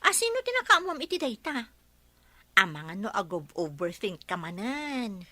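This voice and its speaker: A woman's voice: medium pitch, sing-song, moderate pace, pushed and bright, no room sound. A woman playing an outspoken young friend who sympathises and speaks out. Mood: indignant.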